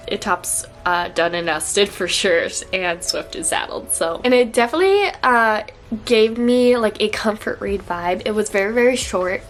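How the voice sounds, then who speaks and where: A woman's voice: medium pitch, slight background noise. Young woman, congested and stuffy, bubbly casual book-review vlogger. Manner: upbeat, gushing, frequent fillers.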